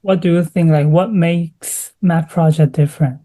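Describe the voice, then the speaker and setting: A woman host with a Mandarin accent asking questions in halting English, full of pauses and fillers. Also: sits low, fairly even intonation, dark tone. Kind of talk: interview hosting.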